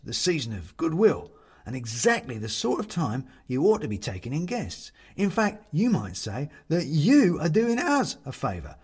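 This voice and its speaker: A man's voice: medium pitch, husky, voice pushed up. A man voicing a relentlessly cheerful, chatty little china man in a storybook, gleeful and a touch pompous.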